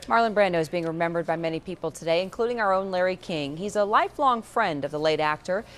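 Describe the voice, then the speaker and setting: A woman's voice: lowish voice, lively intonation, quick pace, slight background noise. A woman presenting a scripted news item.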